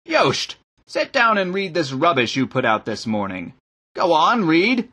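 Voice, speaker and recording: A man's voice: on the high side, huge pitch swings, unhurried, sharp tone, husky, exaggerated voice. A man voicing an irate, scornful bishop in an audiobook, snarling and barking orders and sarcastic questions. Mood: commanding. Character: irate, bullying male bishop, loud and scornful.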